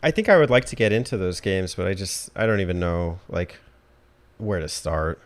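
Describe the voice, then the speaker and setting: A man with a somewhat low voice hosts a casual podcast. He speaks informally and in a laid-back way, as if talking games with a friend. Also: lively intonation, moderate pace. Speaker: podcast host. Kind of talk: casual conversation about video games.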